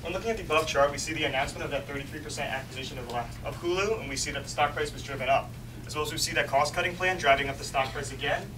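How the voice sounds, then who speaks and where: A man's voice: on the high side, racing. A young man giving a rehearsed finance presentation, stressing the start of each point. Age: young adult. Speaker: student presenter. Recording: distant voice with room noise.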